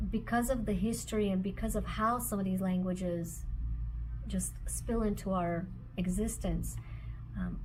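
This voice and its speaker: Measured, reflective woman author. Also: mid-range voice, darkish tone, a little hiss or hum, low-quality recording. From former Soviet Union. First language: Russian. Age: adult with children. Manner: measured, phrase by phrase with pauses. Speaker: author and speaker. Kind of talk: reflective personal talk.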